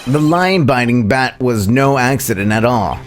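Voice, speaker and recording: A man voicing an earnest young male defense lawyer, arguing deliberately in a courtroom video game. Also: medium pitch, animated, soft background music, lightly acted. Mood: firm.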